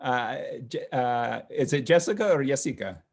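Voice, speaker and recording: A man hosting an online session, calling on participants one by one in a relaxed, casual way with many fillers. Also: medium pitch, moderate pace. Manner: relaxed, friendly, lots of fillers. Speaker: host of online class session. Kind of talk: casual introductions on a video call. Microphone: video call.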